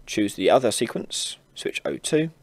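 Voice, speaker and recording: A man hosting an informal software tutorial, casually explaining each step as he demonstrates it on a close mic. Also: mid-range voice, animated, normal speed, creaky. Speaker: tutorial host. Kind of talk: informal screen-recorded software tutorial narration.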